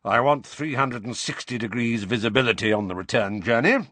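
A man voicing a gruff, suspicious old veteran wizard in a storybook, with a growling voice and an irritable temper. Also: medium pitch, lively intonation, fairly quick, a bit bright, dry recording. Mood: terse, businesslike.

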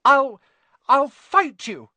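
A man's voice: extremely high pitch, animated, very slow, bright, forceful tone, no room sound, voice pushed up, full character voice. A man voicing an anxious schoolboy in a storybook, plaintive and earnest as he stubbornly stands his ground. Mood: desperate defiance.

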